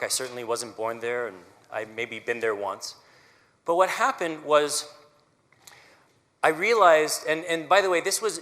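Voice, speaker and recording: Man in his 30s, conductor giving a community talk. Earnest and chatty, bright, clear tone, long hall reverb. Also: a little high. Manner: earnest, conversational, frequent "right?" asides. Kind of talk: personal public talk. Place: auditorium stage.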